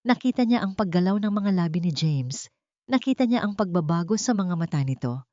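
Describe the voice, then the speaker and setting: A woman narrates a dramatic story with theatrical gravity. Her voice sits on the low side, and the recording is very dry and a little dull. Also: animated, brisk, dark tone, no room sound. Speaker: audiobook narrator.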